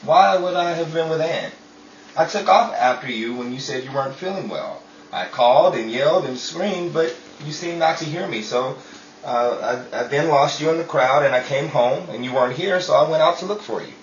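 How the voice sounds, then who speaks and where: A man's voice: fairly high pitch, normal speed, lightly acted. A man playing a befuddled, well-meaning 1930s husband in a radio comedy drama, hesitant and mild. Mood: earnest. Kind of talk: old-time radio comedy-drama acting. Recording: dull, roomy, distant, faintly noisy.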